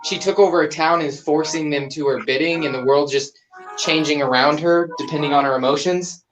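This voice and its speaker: A man's voice: on the high side, fairly quick, faint music behind, low-quality recording. Dry-joking young man on a call. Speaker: guest. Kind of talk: casual chat.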